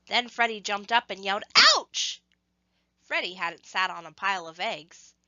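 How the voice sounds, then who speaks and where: A woman reading a scripted story to children, with expressive, measured phrasing and emphasis on action words. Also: mid-range voice, dry recording, dull audio.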